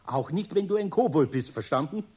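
An actor playing a kindly, flustered older master carpenter in a children's radio play, with a husky voice and Bavarian accent, often muttering or exclaiming. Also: male voice, fairly high pitch, expressive, fairly quick, mellow. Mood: mock scolding. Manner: muttering to himself, hesitant, exclaiming.

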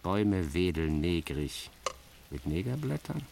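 Low-voiced man narrating theatrically. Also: measured pace, vocal fry, background noise. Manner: theatrical, sardonic, expressive shifts of emphasis. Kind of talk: dramatic first-person literary narration, radio play.

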